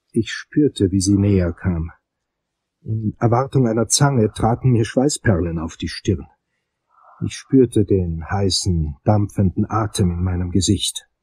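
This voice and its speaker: A man plays a hard-boiled investigator narrating a dark crime story. His voice is fairly low and husky, with dramatic, suspenseful delivery suited to audio drama. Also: no room sound, low-quality recording.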